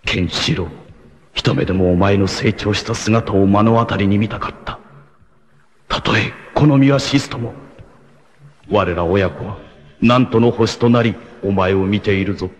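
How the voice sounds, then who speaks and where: An actor playing a noble, fatherly blind rebel warrior in an anime, with a solemn, formal, measured delivery. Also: fairly low pitch, close to an everyday voice. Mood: grave, earnest. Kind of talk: dramatic anime acting.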